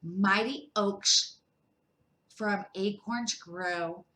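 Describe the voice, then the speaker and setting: Older lady doing a kind reassuring badger guy from a kids book, gentle and slow. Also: medium pitch, roomy sound. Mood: pleased. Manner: gentle, measured, expressive. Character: kindly, reassuring male badger in a storybook.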